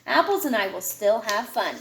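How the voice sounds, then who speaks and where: A woman's voice: fairly high pitch, very animated, moderate pace, fairly bright tone, echoey room, a little hiss or hum, low-quality recording. A woman voicing eager, kind young pony-loving girls from a children's storybook. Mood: encouraging.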